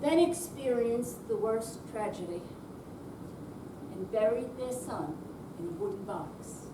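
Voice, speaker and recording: A woman performing a readers theater piece, delivering short narrative phrases in a measured way with pauses. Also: on the high side, expressive. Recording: roomy hall, distant mic, background noise.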